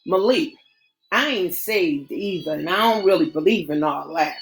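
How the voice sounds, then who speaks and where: A woman voicing an eager, scheming young woman whose lines jump high and pleading. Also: animated, normal speed, faint music behind.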